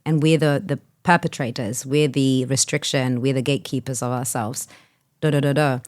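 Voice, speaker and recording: A woman's voice: very deep voice, steady tone, normal speed, darkish tone. A woman hosting a podcast, thoughtful and searching for her words as she asks open questions. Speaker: podcast host. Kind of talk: casual podcast conversation.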